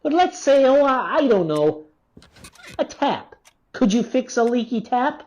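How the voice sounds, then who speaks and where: A woman's voice: fairly high pitch, sing-song, a little slow, darkish tone. A woman playing an unhinged, sarcastic male author in a radio play, taunting and erratic, swinging from muttering to outbursts.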